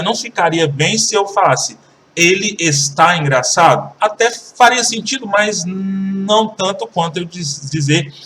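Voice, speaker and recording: A Brazilian man teaching an English lesson, switching between languages and repeating example sentences slowly and carefully. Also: high voice, bright, forceful tone, far from the mic, slight background noise. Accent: Brazilian Portuguese.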